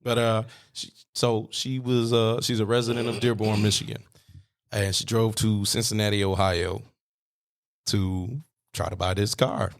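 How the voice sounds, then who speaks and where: Man in his thirties, podcast host, lowish voice, relaxed banter, swears casually. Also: unhurried, vocal fry. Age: 30s. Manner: laid-back, swears casually, laughs often.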